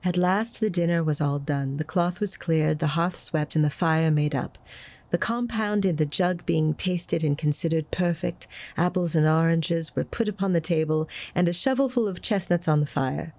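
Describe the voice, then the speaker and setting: A woman's voice: deep voice, normal speed, a little mellow, no echo. Theatrical woman reading a storybook aloud. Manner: theatrical, dramatic delivery. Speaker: audiobook reader. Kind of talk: expressive scripted storybook narration.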